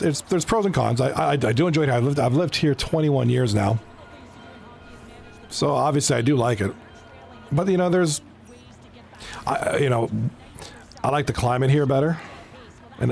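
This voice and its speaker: Casual male stream commentator, gravelly, from Toronto, faint room ambience. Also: mid-range voice, a little hiss or hum. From Canada (Toronto). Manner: casual, chatty, talks to viewers by name. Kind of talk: live esports hockey commentary and chat.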